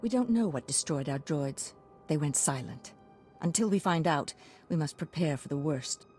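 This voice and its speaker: A woman's voice: sits low, animated, normal speed, a little mellow, soft background music. A woman playing a poised, grave baroness with a formal, courtly manner in a video game. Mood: grave concern.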